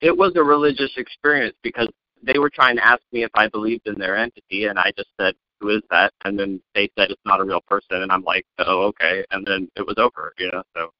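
A man co-hosting a podcast in casual chat, an animated storyteller who laughs often. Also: lowish voice, fast talker, no echo. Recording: dull lo-fi sound, dry.

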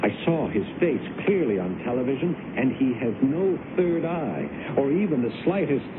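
An actor playing an earnest, secretive man from another planet, halting and insistent, in 1970s radio drama style. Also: lively intonation, phone-quality sound, close to an everyday voice. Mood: suspicious. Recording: noisy, thin, rumbling old radio broadcast.

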